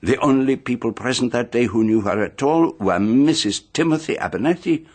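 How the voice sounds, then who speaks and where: A man's voice: medium pitch, moderate pace, a little mellow, close to an everyday voice. An actor playing a pompous, meticulous male private detective in a radio mystery drama, speaking formally and with theatrical deliberation. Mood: methodical revealing.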